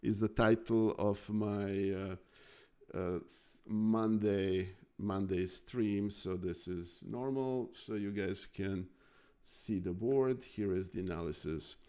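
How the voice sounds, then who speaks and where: A man from Serbia, casually chatting on a live stream while going over chess games, halting with many fillers and thanking viewers. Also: fairly low pitch, warm tone, smooth.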